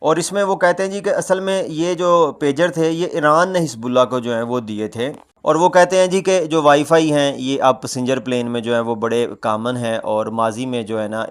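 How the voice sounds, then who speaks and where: A man's voice: medium pitch, smooth. Male news commentator, mid-range voice, conversational explainer delivery with habitual filler phrases.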